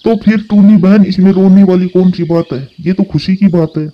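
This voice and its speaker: Man voicing a kind, higher-pitched crow. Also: steady tone, moderate pace, natural voice. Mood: reassuring. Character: kind brotherly crow, reassuring, generous. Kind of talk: Hindi cartoon voice acting. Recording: slightly dull, lo-fi sound.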